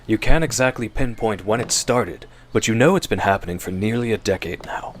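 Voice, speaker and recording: A man's voice: fairly low pitch, brisk, slight background noise. A man delivering suspenseful, theatrical scripted narration as the storyteller of a horror audio drama.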